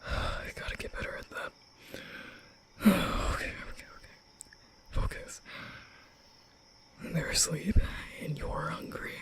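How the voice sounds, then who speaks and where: A young man playing an awkward, nervous vampire in an ASMR roleplay, stammering and muttering in breathy whispers. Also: lightly acted. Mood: anxious muttering. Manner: halting, stammering, muttering to himself. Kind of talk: ASMR roleplay audio drama.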